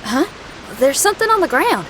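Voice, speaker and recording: A woman's voice: high voice, big pitch swings, fairly quick, noisy background. A woman voicing a spirited, casual young adventurer woman in a video game, friendly and direct. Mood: thoughtful. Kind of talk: animated video game character acting.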